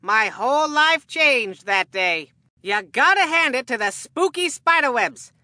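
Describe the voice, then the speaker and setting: A woman voicing an excitable, food-loving boy baseball commentator in a cartoon game, with a folksy, earnest showman delivery. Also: high voice, a little slow, no room sound, exaggerated voice.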